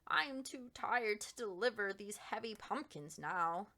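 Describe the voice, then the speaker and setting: A woman's voice: mid-range voice, lively intonation, unhurried, sharp tone. A woman reading a storybook, voicing a stubborn, fussy woman gardener in an emphatic, grumbling manner.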